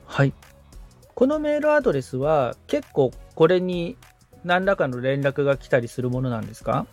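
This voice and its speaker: A man's voice: a little high, very animated, moderate pace, faint music behind. A man assisting on a podcast as a learner, attentively following along with polite, agreeable replies. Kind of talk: polite conversational replies while following instructions.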